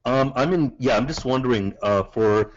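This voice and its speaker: A man from Canada politely asking a voice coach questions on a webinar, halting with many fillers. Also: mid-range voice, highs cut off. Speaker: webinar caller, acting student.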